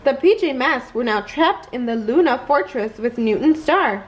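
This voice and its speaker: Animated, high-voiced woman reading a story. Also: moderate pace, low-quality recording. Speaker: children's story reader. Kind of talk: expressive bedtime read-aloud. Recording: clear background noise.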